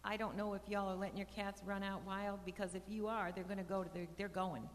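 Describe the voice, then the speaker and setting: A woman's voice: medium pitch, little pitch movement, fast talker. A woman who manages a residential park, answering residents' questions at a meeting in a plain, restart-prone way. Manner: plainspoken, frequent restarts and fillers. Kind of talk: casual meeting talk. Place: community meeting hall. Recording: reverberant hall with faint hum.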